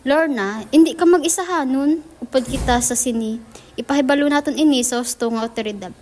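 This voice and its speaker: Woman acting high-pitched filipino lady coworker, supportive and firm, radio drama, noisy clicky recording. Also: fairly quick, natural voice. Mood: reassuring, resolute. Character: supportive female older co-worker Aling Rosa, resolute ally.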